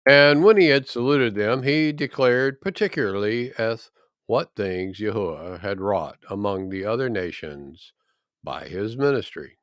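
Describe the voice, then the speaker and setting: A man reading scripture aloud in a solemn, measured and emphatic way. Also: lowish voice, expressive, a bit bright, dry recording, clean studio sound. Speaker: scripture reader.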